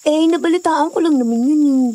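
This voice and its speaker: A woman's voice: high voice, fairly quick, dark tone, dry recording, close to an everyday voice. A woman with a Filipino accent playing a gossipy, superstitious young hiker in a conversational audio drama. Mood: chatty.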